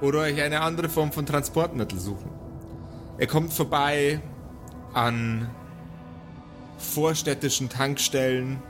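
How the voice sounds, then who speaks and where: A man with a fairly high voice runs an improvised tabletop adventure as game master. He narrates theatrically, leaving deliberate pauses. Music plays clearly behind him. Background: background music.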